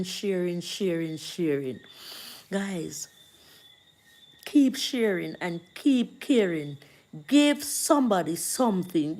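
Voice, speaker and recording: A Jamaican woman hosting a casual livestream, chatting to viewers and reading out their comments in a slow, preachy way. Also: medium pitch, mellow.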